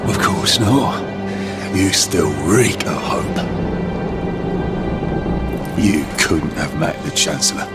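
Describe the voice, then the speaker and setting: An actor playing an embittered, scornful undead swordmaster in a video game, with deliberate, theatrical delivery. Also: male voice, deep voice, sing-song, loud music behind the voice, heavily acted. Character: embittered undead veteran swordmaster, once a human warrior. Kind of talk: video game cutscene acting.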